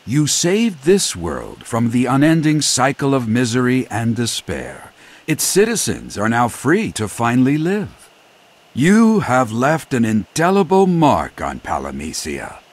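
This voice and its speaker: A solemn game character with a husky, breathy voice and sweeping intonation. He speaks slowly and formally, in florid, earnest phrases of gratitude and prophecy. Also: medium pitch, wildly swooping pitch, heavily acted. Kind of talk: dramatic video game cutscene acting.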